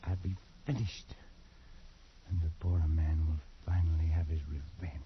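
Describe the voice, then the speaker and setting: A man's voice: extremely low pitch, level tone, measured pace, background noise. An actor playing a tormented, cursed Englishman near madness in an old radio drama, agitated and feverish. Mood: haunted, resigned. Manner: agitated, feverish, swinging from brooding to frantic. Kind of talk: 1940s radio drama acting. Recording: muffled old radio recording.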